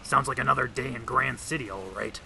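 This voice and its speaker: An actor playing a gruff, wisecracking big-city detective in an old-time radio drama, with hard-boiled slang. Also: male voice, mid-range voice, fairly quick, bright, forceful tone, slight background noise, poor audio. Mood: suspicious.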